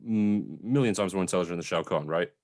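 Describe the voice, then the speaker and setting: A young man in casual film discussion as a co-host, giving measured opinions and posing questions. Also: sits low, darkish tone. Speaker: film review podcast co-host.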